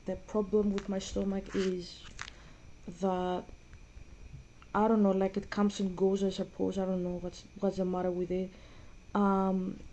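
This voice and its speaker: A young woman, chatting casually and rambling with many pauses and fillers while playing a game. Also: mid-range voice, warm tone, slight background noise, rough recording. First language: Greek. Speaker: gaming vlogger. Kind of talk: casual gaming chat.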